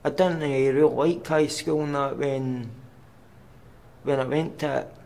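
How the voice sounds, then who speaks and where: A young man with a Glaswegian Scottish accent, giving personal testimony for a documentary in a halting, plain way with pauses and fillers. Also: mid-range voice, steady tone, vocal fry, a little mellow. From Scotland. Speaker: documentary interviewee. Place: outdoors on a city street at night.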